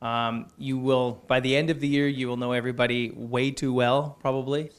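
A man, the program director, casually welcoming a group of new students, relaxed and joking, with pauses and fillers. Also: mid-range voice, smooth.

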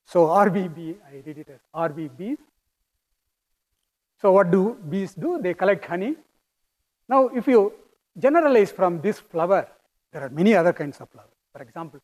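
A man with a strong Indian accent lectures on physics in a high voice. He is middle-aged and talks in a halting, thoughtful way, with fillers and restarts as he works through his ideas. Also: a little mellow, rough recording. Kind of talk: academic lecture.

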